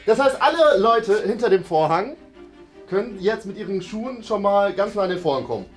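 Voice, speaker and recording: A man's voice: sits high, lively intonation, a little fast, faint music behind. A man in his thirties with a German accent, casually hosting and commenting on a guessing game in a room. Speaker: host and contestant of a guessing game show. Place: medium-sized indoor room.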